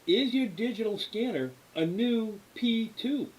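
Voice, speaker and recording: An American man hosting a casual explanatory livestream, talking in a halting, rambling, folksy way. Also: sits high, expressive. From New England, US. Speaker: livestream host, radio scanner hobbyist. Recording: hissy, clearly noisy background.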